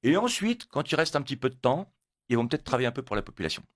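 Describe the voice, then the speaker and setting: A man's voice: mid-range voice, very animated, rapid-fire, no room sound, clean studio sound. A man born in Italy, a guest on a radio interview, talking emphatically and repeating words when pressed. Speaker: guest, motorcyclists' federation coordinator.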